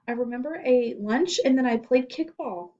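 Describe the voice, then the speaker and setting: Woman doing a high, frightened, pleading schoolboy. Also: normal speed, mellow, far from the mic, dull audio, natural voice. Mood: nervous. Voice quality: high-pitched. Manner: stammering, nervous, whiny. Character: frightened, pleading schoolboy protesting his innocence. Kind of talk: children's storybook read-aloud.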